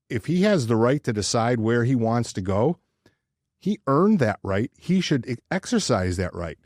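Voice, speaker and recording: Low-voiced man talking sports, earnest and emphatic. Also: darkish tone, no echo, studio quality. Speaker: podcast co-host. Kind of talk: casual sports talk, giving opinions.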